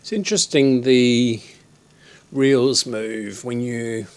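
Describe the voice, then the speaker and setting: A man hosting an electronics channel, talking casually and haltingly through an update and demonstration. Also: medium pitch, a little mellow. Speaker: host of an electronics repair channel.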